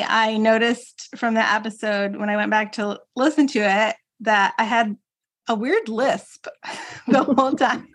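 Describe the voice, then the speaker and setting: A woman with a fairly high voice co-hosts a podcast. She talks in a relaxed, chatty way, with fillers, restarts and easy laughter. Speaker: podcast co-host. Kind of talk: casual podcast chat.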